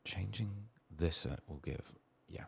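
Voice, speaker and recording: A man quietly narrating a game playthrough in soft-spoken ASMR style, murmuring to himself and often dropping toward a whisper. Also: sits low, measured pace, a little hiss or hum. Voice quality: soft, hushed, breathy in whispers. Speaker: gaming streamer.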